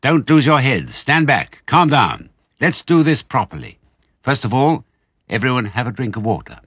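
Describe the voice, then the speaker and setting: A man voicing a clever, excitable father fox from a children's storybook, fond of his children, exclaiming and giving orders with pride. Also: low voice, normal speed, dry recording, studio quality, exaggerated voice. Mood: commanding. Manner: exclamatory, boastful, affectionate.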